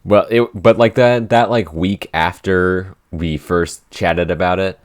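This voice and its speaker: A man's voice: sits low, fairly even intonation, measured pace. A man co-hosting a podcast in casual chat, rambling and saying "like" a lot. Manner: rambling, heavy use of "like". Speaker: podcast co-host, show writer.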